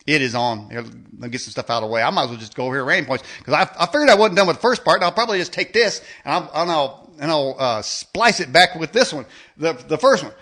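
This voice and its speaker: Male talk host, high forceful voice, improvised rant, sarcastic and repetitive, stumbling delivery. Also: lively intonation, fast talker. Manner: stammering, repeats phrases, sarcastic, colloquial. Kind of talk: improvised rant monologue with mocking role-play.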